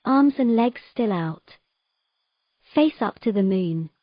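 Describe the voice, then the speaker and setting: A woman playing a calm, frank, teasing mermaid in a fantasy audio drama, speaking in a plain, coaxing way. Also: mid-range voice, slow pace, dry recording, muffled recording. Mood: calm instructing.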